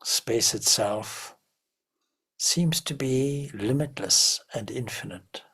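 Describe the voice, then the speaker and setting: A man with a very husky, gravelly voice leads a guided meditation. He speaks slowly and in measured phrases, leaving long pauses as he gently instructs. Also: medium pitch. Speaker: meditation teacher.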